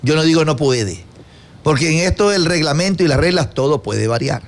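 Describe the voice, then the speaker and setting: A man hosting a sports talk panel and interjecting often with quick agreement. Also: a little high, expressive. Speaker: sports show host.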